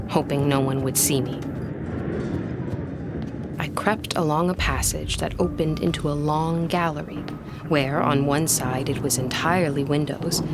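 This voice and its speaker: A woman performing a haunted young woman in a gothic audio drama. Her voice sits fairly low, and she tells her tale with fearful curiosity and deliberate suspense. Loud music swells behind her. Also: lightly acted. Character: young woman recounting a terrifying ghostly vision, curious, fearful.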